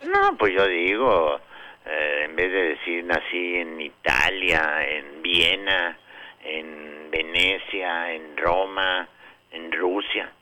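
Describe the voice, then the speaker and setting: A man's voice: deep voice, sing-song, takes it slowly, bright, forceful tone. A man with a Mexican accent doing teasing comic banter as a show's sidekick. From Zacatecas, Mexico. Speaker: show sidekick.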